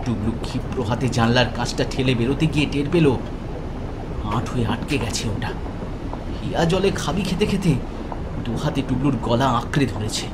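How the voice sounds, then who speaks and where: A man narrating a scripted horror story with intense, suspenseful, dramatic delivery. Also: medium pitch. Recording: loud ambient noise behind the voice.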